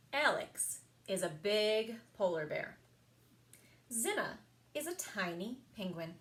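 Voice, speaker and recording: Slow, animated woman reading children's stories. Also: mid-range voice. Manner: expressive, sing-song storytelling with emphasized words. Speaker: library story time reader. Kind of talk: children's picture book read-aloud.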